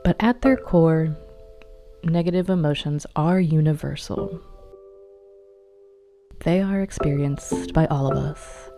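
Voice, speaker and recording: A woman narrating a guided meditation in a calm, measured, instructional manner. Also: deep voice. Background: meditation music.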